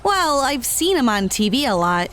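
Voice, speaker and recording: A woman playing a sheepish, casual young adventurer in an audio drama, hesitant and expressive. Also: high-pitched, moderate pace. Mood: sheepish, impressed. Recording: faint background noise.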